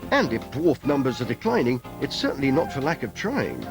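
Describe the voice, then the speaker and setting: Animated British male documentary narrator over music. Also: mid-range voice, fairly quick. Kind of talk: light-hearted scripted narration. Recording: music under voice, VHS tape.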